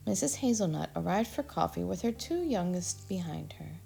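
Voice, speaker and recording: Gravelly-voiced woman reading a story. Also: lowish voice, normal speed, soft background music. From Texas. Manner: expressive storytelling. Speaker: storybook reader. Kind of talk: children's read-aloud narration.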